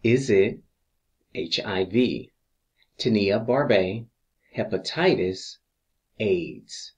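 Male instructor for exam review narration: fairly deep voice, very unhurried, measured reading of questions and options. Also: a little mellow. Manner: measured, deliberate reading with pauses between answer choices.

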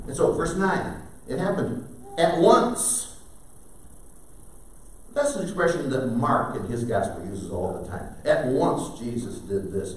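An older man delivers a sermon in an animated way, swinging from steady explaining to sudden loud bursts. He sits at an ordinary middle pitch. His voice comes from some distance through a very echoey church sanctuary, with faint ambient noise behind him. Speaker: pastor. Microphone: far camera, preaching at a wooden pulpit.

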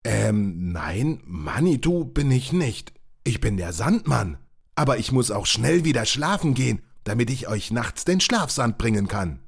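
A man voicing a sleepy, good-natured Sandman in a children's audio play, grumbling but helpful, with a husky voice. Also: mid-range voice, sing-song, measured pace, heavily acted.

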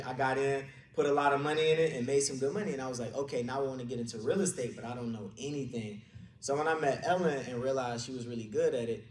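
A man from Detroit with a Detroit American accent, telling his story colloquially in an informal motivational talk to an audience. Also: mid-range voice. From Detroit, USA. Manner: colloquial, anecdotal. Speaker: conference speaker, real estate agent. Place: indoor conference room, speaking on a stage. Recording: echoey room, distant mic, background noise.

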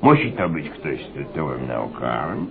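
An actor playing a blustery, greedy school inspector and old-soldier braggart in a satirical radio comedy, stammering and repeating himself. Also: male voice, very deep voice, very animated, moderate pace, vocal fry, crowd noise, exaggerated voice. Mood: scheming, excitable. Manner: stammering, repetitive, erratic, exclaiming. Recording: dull narrow-band radio recording, audience behind.

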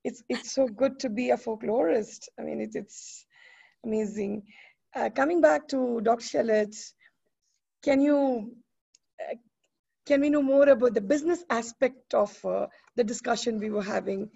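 Effusive woman moderator, husky and fry-tinged, dull video-call sound. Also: a little high. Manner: warm, effusive, halting with fillers. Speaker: panel moderator. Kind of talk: online panel moderation. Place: indoor room. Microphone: laptop webcam on video call. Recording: muffled video call audio.

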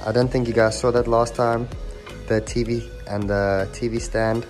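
A man's voice: mid-range voice, level tone, moderate pace, a little mellow, faint music behind. A young man from South Africa talks through a food tasting in an easygoing, slangy way. He is filmed on a handheld phone camera as he eats and reacts. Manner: laid-back, slangy, enthusiastic. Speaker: vlogger. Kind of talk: casual food tasting chat. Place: restaurant, then home living room.

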